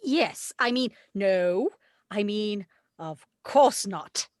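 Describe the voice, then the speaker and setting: A woman's voice: mid-range voice, animated, drawn-out words, darkish tone, dry recording, clean studio sound. A woman playing a meek, overlooked witch sister, hesitant and deferential, in a script table read. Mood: flustered, deferential.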